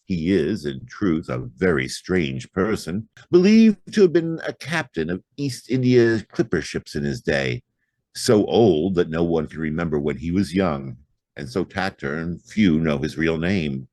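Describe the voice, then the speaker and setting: Man, deep voice, very animated intonation, theatrical storyteller, scripted horror narration. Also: unhurried, no echo. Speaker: audiobook narrator.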